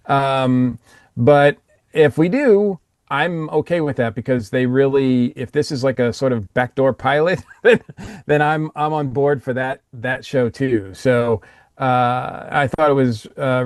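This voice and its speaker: A man speaks as a panelist in a casual review discussion, recorded dry and close. His delivery rambles, with halting restarts and repeated words, at an ordinary middle pitch. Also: steady tone, no echo.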